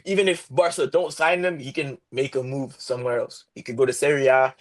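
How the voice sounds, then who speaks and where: A young man co-hosting a podcast, making emphatic, excited football claims in casual slang. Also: medium pitch, brisk. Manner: emphatic, peppers every line with "bro". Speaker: podcast co-host.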